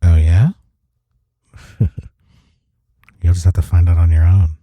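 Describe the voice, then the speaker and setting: Male actor for tender, teasing coworker love interest, audio roleplay; low voice, slow halting murmurs. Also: flat delivery, dark tone, dry recording. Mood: flirtatious, teasing. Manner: hesitant, halting, breathy sighs and murmurs. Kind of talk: intimate romantic audio roleplay acting.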